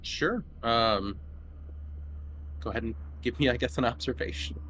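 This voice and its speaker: A man running a tabletop horror game, narrating casually and haltingly as he feels out each description. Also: medium pitch, a bit bright, slight background noise, rough recording. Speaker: game master.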